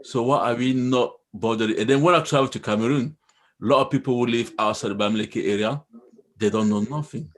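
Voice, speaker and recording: A man's voice: medium pitch, brisk. A man in a casual online chat, asking questions and reflecting on what he has learned.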